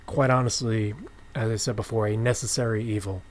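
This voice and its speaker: A man's voice: on the low side, steady tone, a little fast. Man hosting a casual podcast chat. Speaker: podcast host.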